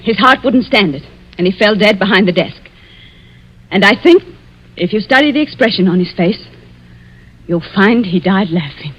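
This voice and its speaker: An actor playing an earnest witness giving testimony in a 1940s radio mystery, on a dull vintage recording. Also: male voice, high-pitched, lively intonation, moderate pace, pauses often, a bit bright, husky, heavily acted. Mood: earnest, insistent.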